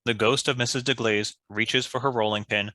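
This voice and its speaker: A man's voice: mid-range voice, fairly even intonation, moderate pace, sharp tone, no echo, clean studio sound. A man reading scripted stage directions as a narrator.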